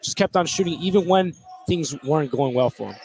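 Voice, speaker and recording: A man's voice: fairly high pitch, brisk, gravelly, noisy background. A man giving live basketball analysis as a color commentator, informal and slangy. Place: indoor school gym.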